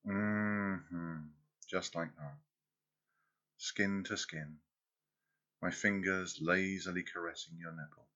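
A man performing an intimate audio roleplay, speaking softly and teasingly as if close to the listener's ear. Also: low-pitched, steady tone, slow, pauses often, dull audio.

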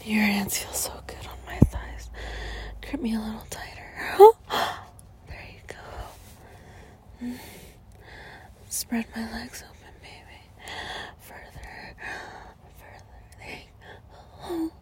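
A woman speaking intimately to a partner in erotic audio, breathy and often whispering, with gasps and drawn-out words. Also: on the high side, expressive. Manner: moaning, gasping delivery with drawn-out words.

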